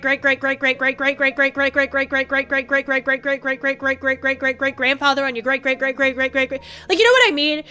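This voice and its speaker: Young Australian woman streamer, high bright voice, rambling and swearing, faint music underneath. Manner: rambling, swears often, quick laughs. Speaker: vtuber streamer. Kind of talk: casual chat to viewers.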